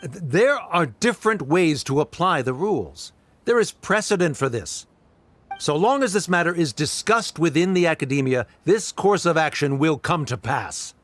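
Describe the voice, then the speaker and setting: A man's voice: on the high side, expressive, moderate pace. A man voicing a haughty academic official who argues with cold, formal condescension. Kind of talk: game voice acting.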